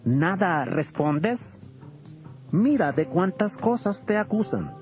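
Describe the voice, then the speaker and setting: An actor playing a puzzled Roman governor with declamatory questioning in a dramatized audio Bible. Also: male voice, sits high, big pitch swings, slow pace, a little mellow, soft background music, full character voice.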